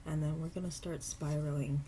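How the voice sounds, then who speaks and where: A low-pitched woman with a cold talks viewers through making earrings at home, sounding stuffy. She is relaxed and unhurried, and now and then stops to apologize. A faint hiss of background noise sits behind her voice. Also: poor audio. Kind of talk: casual step-by-step craft tutorial. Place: home craft table.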